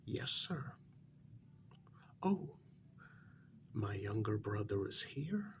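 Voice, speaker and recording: A man playing an anxious working-class older brother in a soft-spoken ASMR role-play, speaking in a hushed, deliberate, insistent way. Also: very animated, slight background noise, exaggerated voice. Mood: deferential, polite. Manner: low, deliberate, insistent, half-whispered warning.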